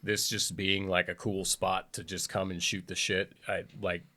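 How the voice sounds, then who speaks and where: A man's voice: low-pitched, level tone, normal speed, bright, forceful tone. A man talking as a podcast guest in casual chat, laid-back and friendly, calling people "dude" a lot.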